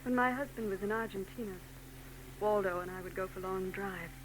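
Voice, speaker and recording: An actress playing a troubled young married woman in a 1940s radio drama, soft and hesitant. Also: medium pitch, fairly quick, natural voice. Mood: wistful. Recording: old radio broadcast with hum.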